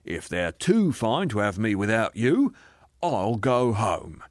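A man's voice: on the low side, very animated, no room sound, clean studio sound. Man playing a blustering, aggrieved working-class ghost. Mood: bitter, defiant. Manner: blustering, sulky, argumentative, drawn-out emphasis. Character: aggrieved, bullying, self-righteous working-class male ghost. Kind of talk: dramatised audiobook reading.

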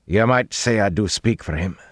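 A man's voice: on the low side, very slow, a bit bright, no room sound, clean studio sound, pitched above the performer's own voice. A man voicing a wary, stubborn bowman in an audiobook, guarded and speaking an archaic rural dialect. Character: wary, stubborn, narrow-faced male bowman, cornered and doubtful.

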